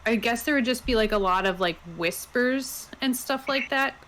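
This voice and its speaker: A woman's voice: a little high, moderate pace, a bit bright. A woman playing in an online roleplaying game, chatting casually with the others. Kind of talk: casual chat. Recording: faint background noise.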